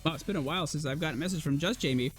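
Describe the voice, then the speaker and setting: Hoarse chatty male esports caster. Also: on the high side, very fast, soft background music. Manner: casual, chatty, addresses chat. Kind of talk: livestream caster filling time.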